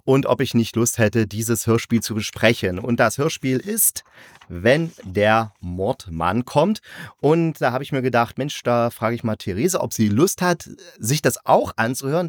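A man's voice: medium pitch, no room sound, studio quality. A man hosting a review podcast, chatting casually with a guest and explaining things as he goes. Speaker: host of an audio drama review podcast.

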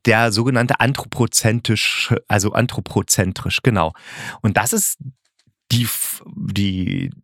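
Male reviewer, lowish pitch, dry sound. Casual, unscripted, argumentative, working through thoughts out loud. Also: a little slow, no room sound. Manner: musing, argumentative, colloquial, thinking aloud. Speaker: audio drama reviewer and host.

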